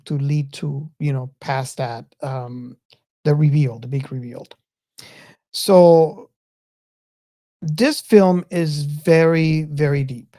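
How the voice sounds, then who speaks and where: A woman hosting a reflective film discussion, speaking haltingly with repeated words and emphatic stretches. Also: sits low, warm tone. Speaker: podcast host.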